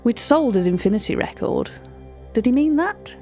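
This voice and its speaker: A woman's voice: a little high, expressive, normal speed, warm tone, soft background music. A woman playing a cultured, wry narrator in an audio drama, with a formal, precise, drily opinionated way of speaking. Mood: hushed unease, wry. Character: cultured, wry art-catalogue narrator recounting uncanny encounters. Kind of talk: scripted narration of an audio fiction.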